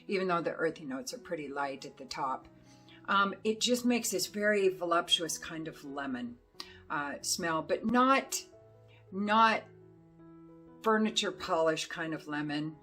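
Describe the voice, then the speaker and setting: A middle-aged woman with a hoarse voice, chatting casually as she reviews perfumes, rambling along with plenty of fillers. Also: on the low side, sharp tone. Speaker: fragrance reviewer. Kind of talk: casual chat to camera.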